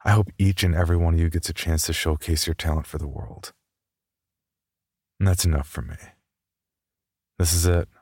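A man with a deep voice hosts a podcast with informal announcements. The sound is dry and close to the microphone. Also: steady tone, moderate pace, pauses often, mellow, husky, no room sound. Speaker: podcast host.

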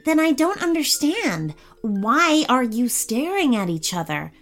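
A woman's voice: sits high, very animated, a little slow, fairly bright tone, soft background music, pitched above the performer's own voice, full character voice. A woman voicing a small, friendly, curious female pickle who speaks politely and earnestly in a children's story.

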